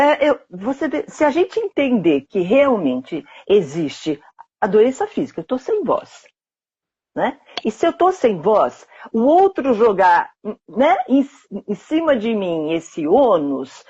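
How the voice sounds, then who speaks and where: A woman guest who explains at length in a breathy, husky voice, her pitch rising and falling in lively sweeps. Her delivery is conversational and keeps checking understanding with short tag questions. The recording sounds dull and lo-fi. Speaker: astrologer and psychotherapist guest.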